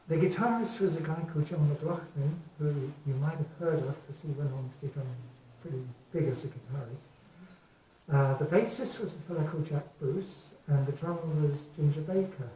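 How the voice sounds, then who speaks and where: An older man from England with a soft voice, chatting to a live audience between songs in an easygoing, rambling way, with plenty of ums. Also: on the high side, a little hiss or hum. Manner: rambling, reminiscing, frequent ums. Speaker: singer-songwriter. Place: live venue. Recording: echoey live room from far off.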